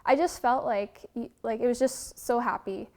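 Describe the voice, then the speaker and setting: A woman's voice: a little high, steady tone, normal speed, music in the background. A young woman, a dancer, talking in an interview in a gushing way, full of "like" fillers and restarts. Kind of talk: interview answer.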